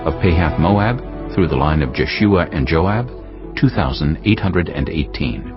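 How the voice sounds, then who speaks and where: Male scripture narrator, very low voice, expressive swings in intonation, formal deliberate delivery, audiobook style. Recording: dull sound with music underneath.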